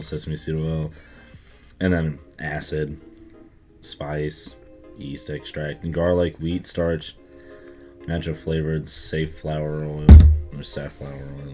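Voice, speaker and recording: A young man with a faint Southern US lilt and a very low, deep voice talks in a loose, rambling way, full of fillers. It is a casual chat recorded with soft music underneath. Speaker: co-host.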